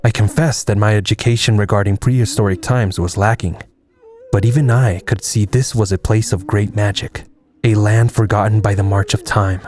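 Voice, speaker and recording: An actor playing a weathered American soldier who tells a wartime adventure in measured, reflective dramatic narration. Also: male voice, lowish voice, steady tone, darkish tone, close to an everyday voice.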